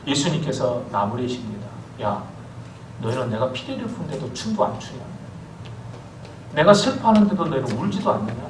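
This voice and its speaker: Animated preacher in an echoey church. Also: male voice, mid-range voice, moderate pace, noisy background. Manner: animated storytelling preacher, calls for amens. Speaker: pastor. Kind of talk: sermon. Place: church sanctuary. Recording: echoey church hall from far off.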